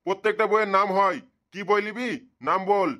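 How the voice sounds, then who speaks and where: A man's voice: high voice, steady tone, brisk, sharp tone. A man voicing a grumpy, bald old bookshop keeper in a comedy cartoon, scolding and sarcastic. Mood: angry, sarcastic. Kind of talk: comic cartoon dubbing.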